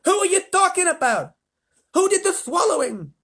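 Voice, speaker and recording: Man playing a shrill, blustering, excitable president. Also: normal speed, exaggerated voice. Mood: alarmed, shouting. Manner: shrill, exclamatory, commanding. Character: blustering, excitable, self-important male President.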